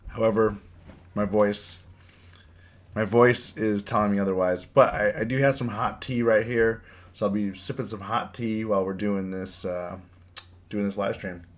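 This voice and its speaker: A man with a cold and a hoarse voice, hosting a casual live stream and chatting with his viewers, often repeating his words. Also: fairly low pitch, fairly even intonation, normal speed. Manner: rambling, repeats words, reads chat aloud. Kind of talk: casual live stream chat about trading.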